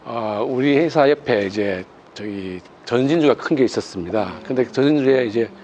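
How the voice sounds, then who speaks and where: Man, panelist, relaxed anecdote, faint background noise. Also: medium pitch, moderate pace, highs cut off. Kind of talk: panel discussion.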